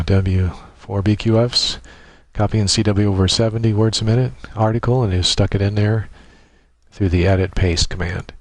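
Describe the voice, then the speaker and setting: A man narrating a casual software tutorial, explaining step by step in an informal, halting way. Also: low-pitched, no echo. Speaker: tutorial presenter.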